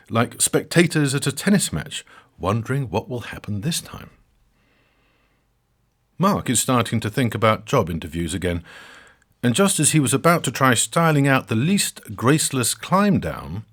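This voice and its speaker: A man narrating a scripted comic story for a podcast, wry and theatrical with dramatic pauses. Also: lowish voice, slightly rough.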